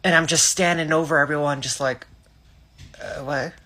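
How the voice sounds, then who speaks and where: Low, bright-toned Icelandic woman. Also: moderate pace. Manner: blunt, sweary, deadpan humor. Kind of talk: casual storytelling to camera.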